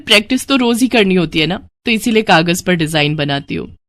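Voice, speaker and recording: A woman's voice: lowish voice, expressive, fairly quick, fairly bright tone, no room sound. A woman playing a cheerful, sweet and eager young woman in an audio story.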